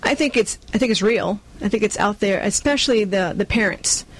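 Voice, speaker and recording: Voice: medium pitch, a little fast, a little hiss or hum. A person with a New York accent and Spanish as a first language, earnestly answering interview questions as a community organizer and acting out quotes along the way. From born in Cuba, grew up in Brooklyn, New York. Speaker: community nonprofit leader being interviewed.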